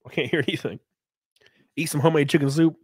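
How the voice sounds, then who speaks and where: A man in his thirties hosting a casual live stream from a small home room, close on a boom mic, joking crudely and sounding congested from a cold. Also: fairly even intonation, quick pace, a little mellow. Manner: crude, joking, laughs often. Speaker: livestream host. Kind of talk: casual live-stream chat reading donations. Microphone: studio mic on boom arm, close.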